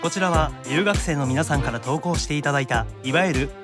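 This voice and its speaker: A man with a Kansai accent hosting a casual podcast, teasing his co-presenter and quick with comebacks. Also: on the high side, music in the background. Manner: teasing, quick to quip back. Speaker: radio show host.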